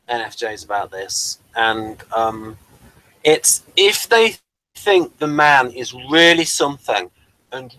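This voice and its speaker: A man's voice: medium pitch, a bit bright, low-quality recording. A man hosting a casual review discussion, speaking hesitantly and probing with a contrarian bent. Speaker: podcast host reviewing TV episodes.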